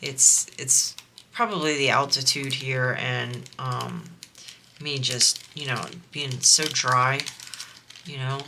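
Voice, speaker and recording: A woman's voice: extremely low pitch, steady tone, slow pace, bright, forceful tone, a little hiss or hum. A woman from the US East Coast with a nasal voice, chatting in a rambling way while she works on a craft project for her vlog. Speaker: crafting vlogger. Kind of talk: casual chat while diamond painting.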